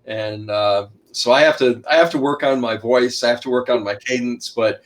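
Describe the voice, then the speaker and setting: A middle-aged man, an author and shipwreck researcher, talking loudly as a guest in an online interview and packing in detail with many restarts. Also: steady tone, normal speed. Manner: loud, booming voice, packs in lots of detail; rambling, frequent restarts and uh fillers.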